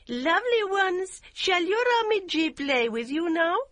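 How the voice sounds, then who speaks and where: A woman doing Yum, a shrill furious young wife. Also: sing-song, normal speed, fairly bright tone, dry recording. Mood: sweetly cheerful. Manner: shrill and impassioned.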